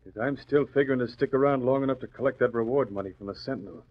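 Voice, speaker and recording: A man plays an edgy small-time crook in an old radio serial. The voice is husky and medium in pitch, full of gangster slang. The sound is muffled, like a vintage broadcast. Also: quick pace, lightly acted. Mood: gloating threat. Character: jittery, slangy male crook posing as an engineer. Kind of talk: 1940s radio drama acting.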